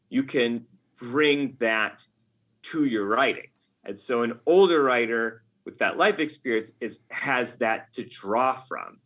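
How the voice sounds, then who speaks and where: A middle-aged man talking casually as an interview guest, often halting and rephrasing himself as he goes. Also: mid-range voice, fairly bright tone. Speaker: podcast guest, game writer. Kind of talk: casual interview talk, reflective anecdotes.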